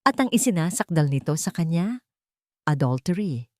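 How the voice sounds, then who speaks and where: Female storyteller, Filipino accent, lowish register, dry close sound, scripted romantic drama narration. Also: very animated, moderate pace, darkish tone, no echo.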